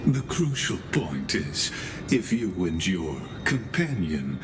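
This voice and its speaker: A very low, composed male voice plays a machine-born game scholar who is polite to a fault and quietly scheming. His formal, deliberate phrasing rises and falls expressively, over a clear rumble of background noise. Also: big pitch swings, full character voice. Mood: calculating, guarded. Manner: formal, deferential, measured, deliberate. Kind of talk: scripted video game character dialogue.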